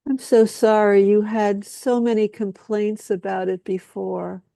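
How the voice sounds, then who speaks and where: A woman speaking a slow, solemn spoken-word lament, with repetitive phrasing and long pauses. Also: medium pitch, flat delivery, vocal fry, mellow, dry recording.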